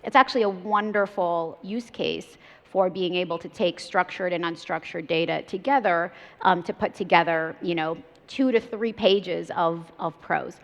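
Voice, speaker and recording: A woman's voice: fairly low pitch, normal speed, vocal fry. A woman in her thirties on a conference panel, talking fluently and casually with many "like" and "you know" fillers. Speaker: startup CEO panelist. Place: large conference stage. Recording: reverberant hall, dull lo-fi sound.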